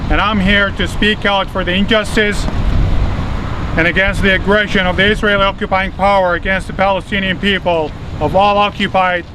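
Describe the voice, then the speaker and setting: A man from Iraq, giving a formal protest speech at an outdoor rally, measured and correcting himself as he goes. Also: high-pitched, fairly even intonation. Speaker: rally speaker. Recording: noisy outdoor recording.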